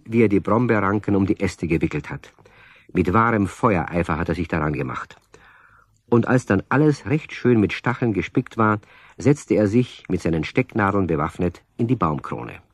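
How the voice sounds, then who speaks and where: A man's voice: on the low side, breathy edge, no echo. A man narrating a scripted children's story as a storyteller addressing young listeners.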